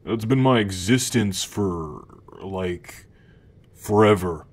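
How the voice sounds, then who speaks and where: A man's voice: low voice, takes it slowly. A man playing an always-hungry, playful and grumpy demon in an ASMR roleplay, speaking in a casual, slangy way. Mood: wistful. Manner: casual slangy talk with frequent chuckles. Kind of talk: ASMR roleplay addressed to the listener.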